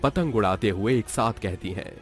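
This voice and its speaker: A man's voice: fairly low pitch, normal speed, soft background music. A man narrating a scripted Hindi cartoon story as a storyteller.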